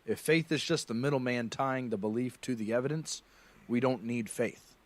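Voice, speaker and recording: A man with a mid-pitched, even voice making his case one step at a time. He speaks steadily and methodically, building each point on the last. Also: normal speed. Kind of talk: reasoned argument in a played clip.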